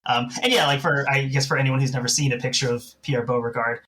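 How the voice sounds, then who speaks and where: Bright-voiced man chatting casually. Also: mid-range voice, fairly even intonation, fast. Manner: hesitant, discursive phrasing with frequent "like". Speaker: podcast co-host. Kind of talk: casual discussion.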